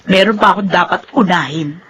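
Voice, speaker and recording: A woman's voice: lowish voice, brisk, fairly bright tone, breathy edge. An actress playing a vengeful, defiant young woman in a Filipino radio drama, with a Filipino accent. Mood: annoyed.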